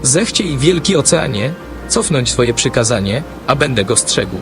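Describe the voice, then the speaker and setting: A man's voice: mid-range voice, sing-song, unhurried, music in the background. A man playing a brave, kind dolphin, pleading earnestly in verse in an audio drama.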